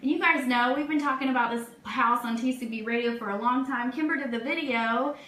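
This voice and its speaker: A woman's voice: high-pitched, fairly quick, fairly bright tone. A woman hosting a casual live webcast, chatting informally through news for her listeners. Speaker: radio show host. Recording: roomy echo, faint background noise.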